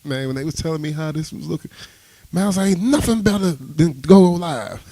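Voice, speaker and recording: A man's voice: on the high side, brisk, warm tone, dry recording. A man chatting casually on a radio interview, telling anecdotes in an informal way. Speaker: radio show crew interviewer. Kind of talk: casual chat.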